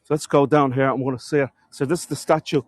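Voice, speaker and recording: A man's voice: medium pitch, fairly even intonation, quick pace, dry recording. A man with a cold, sniffling as he gives a chatty live-streamed walking tour outdoors, talking to viewers and calling them folks. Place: outdoors in a town.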